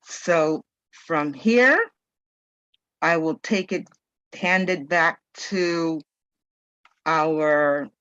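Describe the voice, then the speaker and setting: A woman with a slightly low voice explains her role as meeting evaluator. She speaks extremely slowly, in halting pieces, over slightly dull call audio. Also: a bit bright, dry recording. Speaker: general evaluator at a speaking club. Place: video call.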